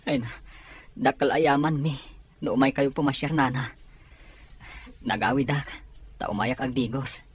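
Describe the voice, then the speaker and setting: A man's voice: medium pitch, steady tone, unhurried, pauses often, breathy edge. A man with a Filipino accent playing a poor, worried and respectful young son in an audio drama.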